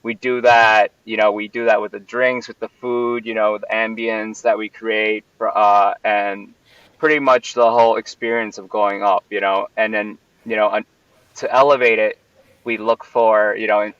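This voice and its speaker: A man's voice: fairly low pitch, level tone, normal speed, a bit bright, no room sound. A man from Puerto Rico, a venue owner, answering interview questions in a rambling way with many fillers and restarts. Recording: distant, dull, lo-fi mic.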